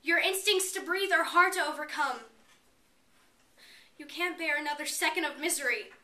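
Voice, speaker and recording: Woman acting a high-voiced despairing college girl, roomy recording. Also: normal speed, sharp tone. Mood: anguished, intense. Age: young adult. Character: despairing suicidal college girl, bitter and pleading. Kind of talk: dramatic stage monologue. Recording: roomy, distant mic, faint noise.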